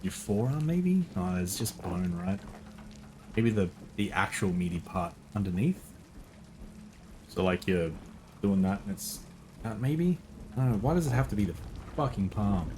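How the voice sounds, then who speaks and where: A man's voice: on the low side, lively intonation, unhurried, long pauses, darkish tone, slight background noise. A male streamer giving casual gameplay commentary, thinking aloud and muttering to himself.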